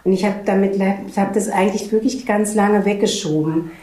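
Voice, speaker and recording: A woman's voice: mid-range voice, steady tone, fairly quick, mellow. Wry woman telling a story, roomy sound. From eastern Germany. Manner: wry, storytelling, laughing at herself. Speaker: interviewee. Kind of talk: personal anecdote in an interview. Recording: echoey room.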